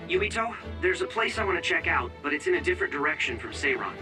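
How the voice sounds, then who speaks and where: An actor playing an earnest young mission support operator in an anime-style video game, focused and informative. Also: male voice, fairly high pitch, very animated, fast, over music. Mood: intent, cautious.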